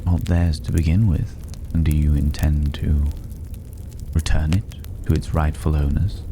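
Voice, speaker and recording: A man voicing a mysterious, cultured recluse in a fantasy ASMR roleplay, speaking in a measured, philosophical way with breathy, whispered edges. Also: a bass voice. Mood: probing, disdainful. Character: mysterious, cultured, solitary male stranger living among dragon's hoard. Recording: clear steady background noise.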